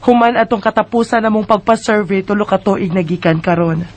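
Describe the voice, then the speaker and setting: A woman's voice: mid-range voice, brisk, a little mellow, lightly acted. A woman with a Bisaya accent playing a brazen, stubborn neighbour in a radio drama, dismissive and argumentative. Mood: brazen, defiant. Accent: Filipino Bisaya.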